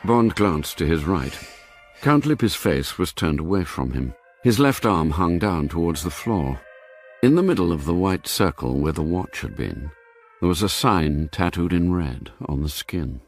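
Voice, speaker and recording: A grave storyteller narrates a spy thriller in a very deep register. His delivery is measured and scripted, with music running underneath. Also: lively intonation. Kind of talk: scripted radio drama narration.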